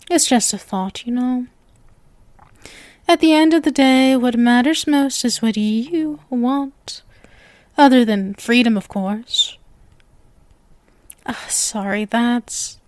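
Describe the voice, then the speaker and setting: A woman's voice: high voice, darkish tone, no echo. A woman playing a sweet, possessive, lovestruck young witch in an ASMR roleplay, coy and teasing as she addresses the listener. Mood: flustered, grudging. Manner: coy, teasing, sugary with menacing devotion.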